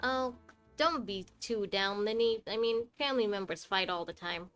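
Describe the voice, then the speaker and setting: A woman's voice: mid-range voice, moderate pace, a bit bright. A woman giving casual, chatty live playthrough commentary, playfully talking back to the characters. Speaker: game streamer. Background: faint game music.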